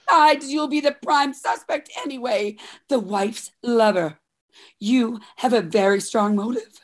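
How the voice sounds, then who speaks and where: A woman's voice: on the high side, unhurried. A woman playing a melodramatic, conniving mistreated wife in an old-time radio comedy mystery. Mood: arguing. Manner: overwrought, theatrical.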